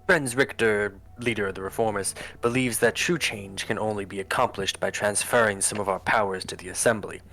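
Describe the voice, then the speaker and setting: A man voicing a formal government adviser who briefs the president in a measured, expository way in a political game. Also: fairly low pitch, steady tone, sharp tone. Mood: formal briefing. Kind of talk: scripted political game voice-over. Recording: music under the voice. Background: background music.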